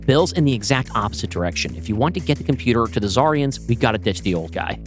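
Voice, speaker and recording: A man's voice: fairly low pitch, very animated, fast, soft background music, pitched below the performer's own voice. A man voicing a wry, bossy young woman in an audio play. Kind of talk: audio play table read.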